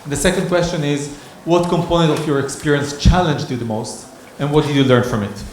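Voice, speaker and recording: A man's voice: a little high, a little fast, a little hiss or hum. A man moderating a workshop discussion, talking in a hesitant, thoughtful way with many restarts. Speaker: session moderator. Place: conference hall. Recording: echoey conference hall.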